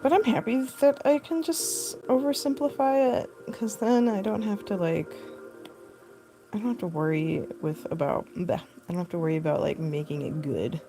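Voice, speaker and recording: A young woman with a low, deep voice and a gravelly edge chats loosely as she works, full of fillers and quick laughs. Her tone is mellow and dark rather than bright. She is picked up by a phone mic, with faint music underneath. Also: low-quality recording. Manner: rambling, filler-heavy, laughs often. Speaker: costume artist streaming live. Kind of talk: casual chat while sculpting.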